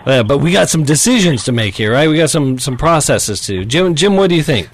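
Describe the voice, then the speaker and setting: A man's voice: on the high side, sing-song, fairly quick. A man hosting a call-in advice radio show, talking directly and challenging callers.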